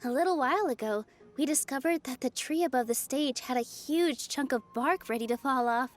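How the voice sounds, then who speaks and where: A woman voicing a cheerful, earnest young female dancer in a video game, expressive and eager. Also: high voice, fairly quick, close to an everyday voice. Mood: earnest, concerned. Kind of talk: video game character acting.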